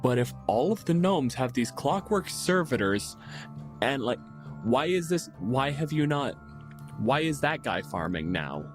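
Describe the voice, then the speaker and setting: A man's voice: medium pitch, sing-song, a little slow, faint music behind. A man co-hosting a podcast, chatting casually and bluntly with emphatic opinions and plenty of swearing. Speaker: podcast co-host. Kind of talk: casual opinionated tabletop gaming chat.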